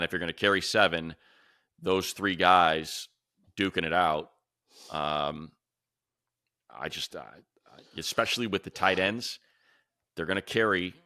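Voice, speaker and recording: Deep voiced guy on a sports show, sharp punchy tone, dry sound, kinda thinking out loud and listing names, casual analysis. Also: dry recording.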